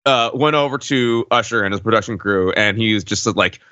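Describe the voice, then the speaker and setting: A man's voice: on the low side, fairly quick, bright, forceful tone, highs cut off. A man co-hosting a podcast in casual, bantering conversation. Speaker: podcast co-host.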